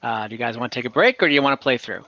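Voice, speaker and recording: A man narrating an online tabletop game as game master, improvising haltingly with casual asides to the players. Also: medium pitch, bright, forceful tone, no echo, highs cut off.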